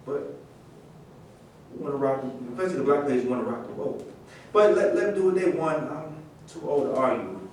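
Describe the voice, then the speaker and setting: Casting: male actor as aging team-sport veteran, mid-pitched, conversational and resigned, stage monologue, very reverberant room. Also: animated, normal speed, darkish tone, lightly acted. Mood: nostalgic, resigned. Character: aging former team athlete, grateful, resigned. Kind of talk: theatrical monologue, staged reading. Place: live staged reading in a roomy space. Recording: very echoey room, distant mic, faint noise.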